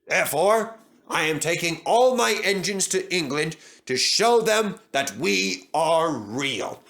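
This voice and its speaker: A man's voice: on the high side, very animated, slow, vocal fry, a bit bright, voice pushed up, full character voice. A man voicing a stern railway director in a storybook, speaking formally and with authority. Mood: authoritative. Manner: authoritative, formal, bellowing when crossed.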